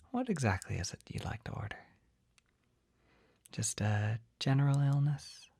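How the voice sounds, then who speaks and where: An actor voicing a shy, flustered, gentle poison-selling apothecary in a soft, breathy, hesitant whisper for an ASMR roleplay. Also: mid-range voice, no echo, heavily acted. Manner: hesitant, halting, apologetic, easily embarrassed.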